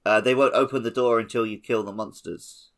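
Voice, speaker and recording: A man's voice: lowish voice, fairly even intonation, a little fast. A man co-hosting a casual gaming podcast, explaining things in a conversational way. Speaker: podcast co-host.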